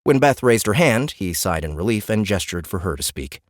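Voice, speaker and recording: A man narrates scripted fantasy fiction for an audiobook. His intonation is varied and expressive, and the recording is close and dry. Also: lowish voice, normal speed, dry recording.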